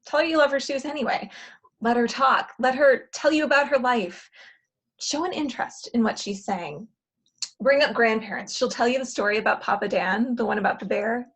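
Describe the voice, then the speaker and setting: A woman playing a bossy, exasperated matchmaker in a comedy play table read, brisk and sardonic as she gives orders. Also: fairly high pitch, husky.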